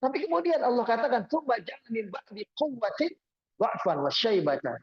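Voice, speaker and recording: A man's voice: very high-pitched, very animated, brisk, breathy edge, lo-fi sound. A man giving an Islamic sermon, explaining Quran verses and reciting the Arabic before translating it. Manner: explanatory, repeats points with "ya" and "nah". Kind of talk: religious study lecture.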